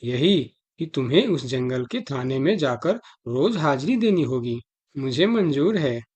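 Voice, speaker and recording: Raspy, dutiful elephant commander played by a man. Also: medium pitch, very animated, measured pace, darkish tone. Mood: stern, suspicious. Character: suspicious, dutiful male elephant army commander. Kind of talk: Hindi animal fable cartoon dialogue.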